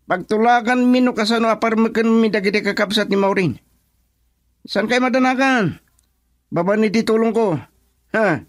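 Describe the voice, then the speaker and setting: A high-pitched Filipino father in a radio drama, acted out by a man, protective of his family and quick to anger. He scolds and confronts whoever stands before him. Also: natural voice. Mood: threatening. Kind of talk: Ilocano radio drama acting.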